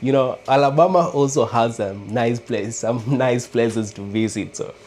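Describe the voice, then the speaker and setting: A man with a Kenyan accent chatting casually with viewers on a livestream, hesitant and halting, often restarting words. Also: medium pitch, darkish tone. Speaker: livestream host. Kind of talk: casual live chat with viewers.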